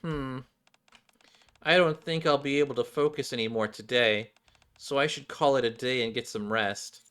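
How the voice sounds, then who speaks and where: A man's voice: mid-range voice, moderate pace, a bit bright, clear, resonant tone, natural voice. Man voicing a curt, defiant schoolgirl. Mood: guarded, weary. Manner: curt, scornful, dismissive. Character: surly, defiant schoolgirl with a sharp tongue.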